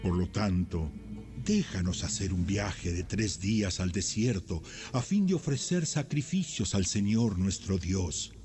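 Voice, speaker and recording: An actor playing a solemn, insistent Hebrew prophet pleading with a king, declaiming in an audio Bible drama. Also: lowish voice.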